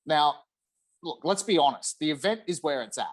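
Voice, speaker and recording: A man's voice: a little high, expressive, normal speed. Enthusiastic man chatting on a call. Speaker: podcast guest. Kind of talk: casual chat about a video game. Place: room at home, on a video call.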